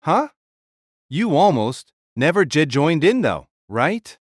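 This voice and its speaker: A man's voice: on the high side, big pitch swings, dry recording, clean studio sound, exaggerated voice. A man playing a timid, hesitant pharmacist mage with a stammer in an audiobook drama. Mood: startled, uneasy. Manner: hesitant, halting. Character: timid, pale, stammering male pharmacist mage, inwardly jealous.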